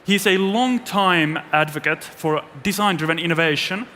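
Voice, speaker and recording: A young man works as a stage host, reading a scripted introduction. His voice is bright and fairly high, carried through a reverberant, noisy hall. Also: moderate pace. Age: young adult. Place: event stage in a large venue. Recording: echoey large hall, noisy.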